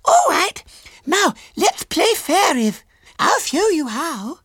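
A man's voice: very high-pitched, huge pitch swings, slow pace, fairly bright tone, no echo, studio quality, pitched above the performer's own voice, full character voice. A man voicing a prim, spoiled six-year-old girl in a storybook, pleading and insistent.

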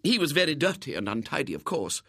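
A man's voice: a little high, sing-song, fast talker, creaky, no room sound, studio quality, voice pushed up, full character voice. A man voicing a severe, prim and gullible woman in a storybook, with a proper manner. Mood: chatty, confiding. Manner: grim and sharp, softening to gracious.

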